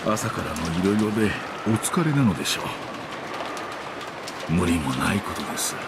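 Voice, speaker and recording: Casting: kindly, polite gentleman, lively quick speech, game dialogue under loud rain. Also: deep voice, creaky, heavy background noise, exaggerated voice. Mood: kindly, appreciative. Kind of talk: Japanese visual novel voice acting.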